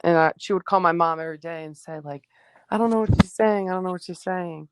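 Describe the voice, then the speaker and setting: A teenage girl from New Jersey, a high school basketball player, answering interview questions casually with many fillers; her first language was Greek. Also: quick pace, a little hiss or hum. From New Jersey, USA. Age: teens.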